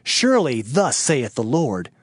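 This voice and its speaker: A man's voice: mid-range voice, sing-song, a little slow, slightly rough, dry recording, studio quality. A man narrating a dramatized King James scripture reading in a solemn, emphatic, declamatory manner. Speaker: audio Bible narrator. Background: background music.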